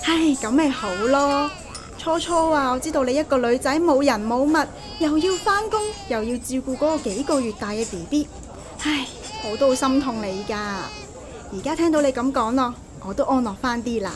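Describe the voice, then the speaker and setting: A woman's voice: high voice, animated, normal speed, background noise. An actress playing a kindly, doting childminder with a Hong Kong Cantonese accent and a fussing, motherly manner in an audio drama. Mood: sympathetic. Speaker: voice actor.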